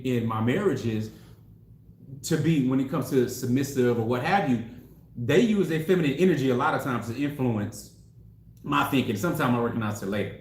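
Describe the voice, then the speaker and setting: A man in his forties, a relationship coach, telling stories in a casual, slangy, animated way with frequent laughs. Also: mid-range voice. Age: 40s. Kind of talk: casual discussion. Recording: echoey room.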